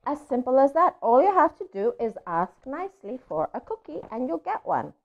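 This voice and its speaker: A woman's voice: high voice, sing-song, normal speed. A woman, a native Spanish speaker, playfully and expressively reading a picture book aloud to children. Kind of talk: animated read-aloud storytelling. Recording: dull lo-fi audio.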